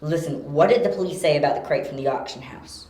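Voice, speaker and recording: A young detective in an amateur radio play, acted by a woman, brisk and sure in the questioning. The voice sits low and has an echoey room around it. The delivery is terse, probing and self-assured. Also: dark tone, close to an everyday voice. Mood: intent, deducing. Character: sharp, curious young detective, confident and brisk. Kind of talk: amateur audio drama acting. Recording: roomy, echoey home recording.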